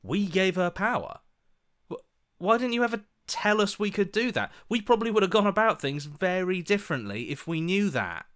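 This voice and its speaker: A man acting an earnest, wry hero's inner voice in a video game, with an animated, emphatic delivery. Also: high voice, normal speed. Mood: indignant, surprised. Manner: animated, sarcastic, exasperated, emphatic. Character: earnest, wry young male hero as an inner voice.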